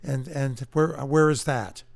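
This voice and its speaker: Male interviewer, casual and halting; frequent restarts mid-question. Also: mid-range voice, no room sound. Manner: hesitant, many fillers and restarts. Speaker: interview host.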